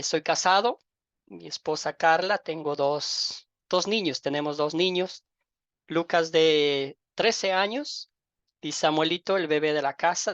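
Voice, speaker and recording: Dull lo-fi call, dry sound, middle-aged man, Ecuadorian accent, pastor greeting a class, halting and familial. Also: fairly high pitch, fairly bright tone, dry recording. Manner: halting, repeats words, familial and fond of diminutives. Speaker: pastor teaching an online Bible class. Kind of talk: informal welcome and self-introduction to a class. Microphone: online group call microphone. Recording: dull lo-fi online call audio.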